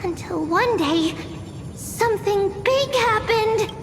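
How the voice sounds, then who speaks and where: Very high-pitched little girl teacher. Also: animated, slow pace, exaggerated voice. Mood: playful, storytelling. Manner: singsong, simple childlike wording. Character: childlike little girl teacher, chirpy and bossy. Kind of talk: storybook-like history lesson narration in a game. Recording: long hall reverb, music behind. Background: game music.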